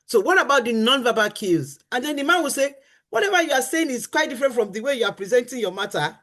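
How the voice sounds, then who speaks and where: A woman's voice: fairly high pitch, big pitch swings, fast, fairly bright tone. Earnest woman teaching about marriage. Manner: earnest and emphatic, repeating key phrases and acting out spouses' complaints. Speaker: co-host of a faith-based marriage talk show. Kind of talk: conversational teaching on a talk show.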